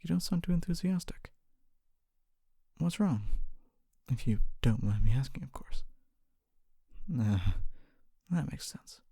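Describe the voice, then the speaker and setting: A performer voicing a kindly, humble fantasy city guard in a soft-spoken ASMR roleplay, often whispering, with a gentle, hesitant and reassuring manner. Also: mid-range voice, expressive, takes it slowly, creaky, long pauses, breathy edge, no room sound, studio quality, full character voice. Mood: curious, encouraging. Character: kindly, humble city guard, wannabe knight, calming and helpful.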